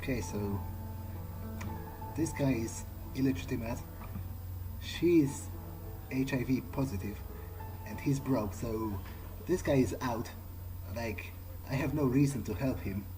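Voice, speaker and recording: A man plays through a game in character, musing aloud. He speaks very slowly and haltingly, often repeating a word, at a middling pitch, with clear music behind him. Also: poor audio. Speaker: player in an in-character game playthrough. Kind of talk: in-character roleplay, thinking aloud.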